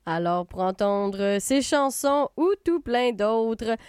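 A Québécoise woman hosts a radio show in a loose, rambling way, full of fillers and self-corrections. Her voice sits a little high and sounds smooth and clean. The studio sound is dry and close. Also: animated, slow pace, a little mellow, dry recording. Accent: Québécois French. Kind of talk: casual spoken review.

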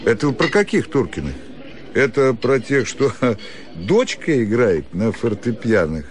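A man's voice: medium pitch, sing-song, measured pace, voice pushed up. A man voicing a fat, meddling doctor who questions people bluntly in a radio story. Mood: gruff curiosity. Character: fat, heavy, meddling male doctor at club supper. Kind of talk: theatrical radio story reading.

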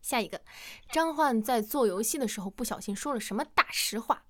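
High-pitched woman hosting a chat quiz. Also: animated, a little fast. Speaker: quiz host. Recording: music behind the voice.

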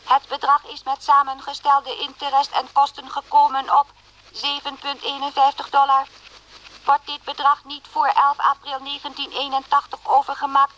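High, monotone debt collector. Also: female voice. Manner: formal, monotone, mechanical. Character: cold, unyielding debt collection agency letter voice. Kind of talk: radio drama letter reading. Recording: muffled, noisy old radio recording.

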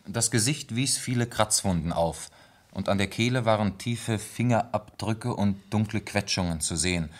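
Man acting a very low-voiced, formal police lieutenant. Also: normal speed, lightly acted. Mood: formal, matter-of-fact. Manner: formal, matter-of-fact reporting. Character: formal police lieutenant reading out a grim report. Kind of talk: German radio play acting.

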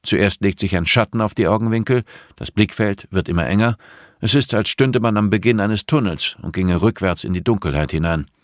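Guy doing a blind middle aged teacher in an audiobook, measured and dryly ironic, close dry sound. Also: lowish voice, dry recording, pitched above the performer's own voice, natural voice. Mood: calm, reflective. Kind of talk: audiobook character dialogue.

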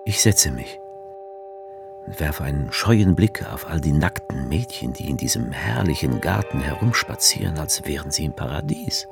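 An actor playing the author figure in a radio play, narrating wryly in the first person. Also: male voice, very deep voice, big pitch swings, moderate pace, vocal fry, music in the background, exaggerated voice.

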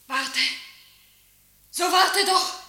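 Radio play role: anguished wife, very high, extremely slow pleading, heavy echo over noise. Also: female voice, exaggerated voice. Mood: desperate pleading. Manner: wailing, drawn-out pleading. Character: desperate wife pleading for her husband. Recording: echoey, noisy, distant radio play recording.

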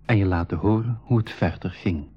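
An actor playing a storytelling adventurer, narrating a Flemish radio play for children in a husky voice. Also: male voice, low-pitched, brisk, faint music behind. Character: storytelling adventurer addressing young listeners. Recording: dull, band-limited 1980s radio recording.

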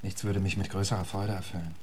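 An actor playing a witty, flirtatious English poet in a radio play, speaking in polished epigrams. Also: male voice, deep voice, level tone, fast talker, a little mellow, husky. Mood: flirtatious, languid.

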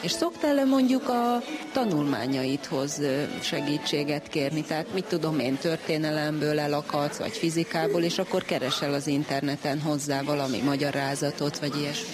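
A woman asking informal, simply worded questions as an interviewer. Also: sits low. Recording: clear background music under the voice. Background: music.

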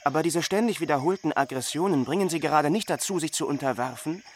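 An actor playing a grave, impassioned chronicler, speaking in a solemn, emphatic stage declamation. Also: male voice, fairly high pitch, quick pace, slight background noise, natural voice.

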